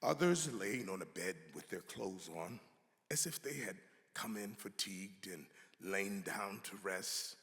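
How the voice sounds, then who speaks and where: A man performs a dramatic reading of a historical document in a reverberant hall. His delivery is slow and emphatic, with weighty halts between phrases. The voice is bright and forceful, with a gravelly creak. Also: sits low. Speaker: actor giving a dramatic reading. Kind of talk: dramatic reading of an 18th-century pamphlet.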